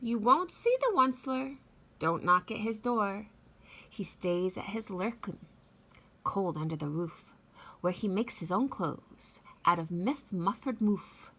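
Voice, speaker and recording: A middle-aged woman talking and reading aloud to young children in an expressive teacher's manner. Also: mid-range voice, unhurried. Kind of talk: children's read-aloud with chatty introduction. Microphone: phone at arm's length.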